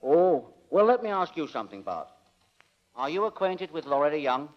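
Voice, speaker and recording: Unflappable deadpan radio director. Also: male voice, on the high side. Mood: bland, evasive. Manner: dry, deadpan, unhurried. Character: blandly unflappable, evasive male radio director. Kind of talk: 1940s radio acting. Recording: dull 1940 radio broadcast recording.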